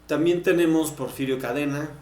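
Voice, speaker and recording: A man's voice: a little high, fairly even intonation, moderate pace, a little mellow. A Mexican man co-hosting a podcast, chatting casually and explaining at length with bits of slang. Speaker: podcast co-host. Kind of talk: casual panel discussion. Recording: roomy, echoing recording.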